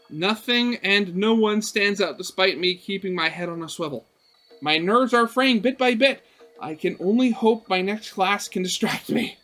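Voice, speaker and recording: High, bright-voiced young man reading aloud. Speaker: let's play host. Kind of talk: reading a visual novel aloud with casual commentary.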